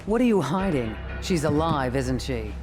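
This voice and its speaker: Highish-voiced accusing cop, loud music. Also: male voice, big pitch swings, moderate pace. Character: hard, accusing male police interrogator.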